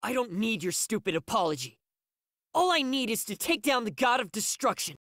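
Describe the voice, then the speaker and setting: A woman's voice: a little high, very animated, normal speed, fairly bright tone, dry recording, studio quality. A woman voicing a brash young zombie boy in an anime-style video game, blunt and cocky. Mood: defiant.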